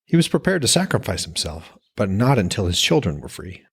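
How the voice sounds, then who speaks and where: A man's voice: lowish voice, sing-song, a little fast, gravelly, husky. A man hosting a fiction podcast, speaking in a scripted narration style as an author reading his fantasy work. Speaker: podcast host, author reading own novel.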